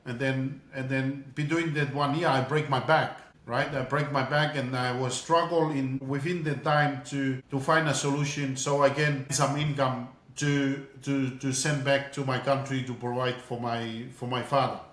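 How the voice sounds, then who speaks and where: A man's voice: medium pitch, fairly even intonation, normal speed, hardly any pauses, fairly bright tone, reverberant. A middle-aged man from Tonga, a guest telling his story in an interview, earnest and often repeating words as he looks for them. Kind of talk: casual interview.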